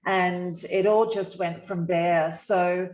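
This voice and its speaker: A woman's voice: fairly low pitch, fairly even intonation, takes it slowly, darkish tone. An Australian woman, a guest on a video call, telling her own story in a chatty, upbeat way. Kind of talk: casual personal account. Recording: echoey room on a call.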